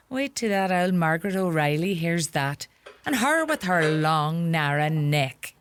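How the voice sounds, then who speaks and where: Actress as a sharp-tongued Donegal mother, animated voice. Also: fairly low pitch, takes it slowly. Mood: proud, gossipy. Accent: Donegal Irish. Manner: scolding, animated, gossipy. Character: bossy, sharp-tongued rural Irish mother, fussing and scolding. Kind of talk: radio play acting.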